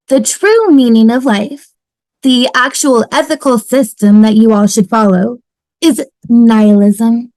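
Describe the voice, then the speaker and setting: Casting: woman as male philosophy professor in nihilistic meltdown, high register, dry close sound. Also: no room sound, close to an everyday voice, poor audio. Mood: manic despair. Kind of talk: fan table read of a TV sitcom. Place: home, on a video call.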